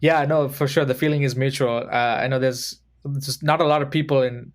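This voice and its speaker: A man in his thirties from Sri Lanka, a podcast guest who speaks in a measured, thoughtful way and slows down to choose his words. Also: mid-range voice, fairly even intonation. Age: 30s. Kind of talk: reflective conversation.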